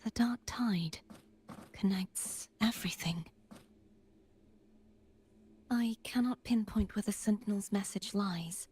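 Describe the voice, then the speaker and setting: A woman voicing a calm, mysterious young guide in a video game, soft and breathy, with a measured, solemn delivery. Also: mid-range voice. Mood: grave, measured. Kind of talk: voiced game dialogue.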